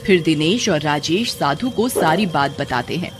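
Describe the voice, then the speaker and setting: A narrator telling a cartoon horror story in an animated storytelling voice. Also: mid-range voice, normal speed, music in the background.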